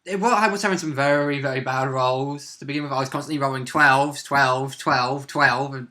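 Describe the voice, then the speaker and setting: A man's voice: medium pitch, fairly even intonation, moderate pace, sharp tone. A man who plays tabletop role-playing games, chatting casually and agreeably about a session. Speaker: role-playing game player.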